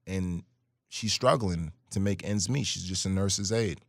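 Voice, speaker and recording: A man's voice: sits low, unhurried, creaky, no room sound, studio quality. A man from Brooklyn and Guyana, a musician guest telling stories in a casual interview. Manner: animated storyteller, hums melody lines.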